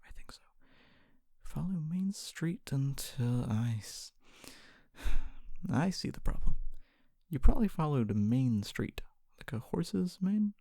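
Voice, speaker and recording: A performer voicing a kindly, humble fantasy city guard in a soft-spoken ASMR roleplay, often whispering, with a gentle, hesitant and reassuring manner. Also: mid-range voice, very animated, slow pace, no room sound, clean studio sound, full character voice. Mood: helpful, reassuring. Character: kindly, humble city guard, wannabe knight, calming and helpful.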